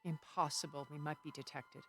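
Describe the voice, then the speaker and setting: An actress playing a composed, regretful, centuries-old space colonist and former spy in a video game, speaking in a measured, formal, eloquent way. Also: female voice, low-pitched, fairly even intonation, a bit bright, soft background music. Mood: alarmed. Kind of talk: dramatic video game dialogue.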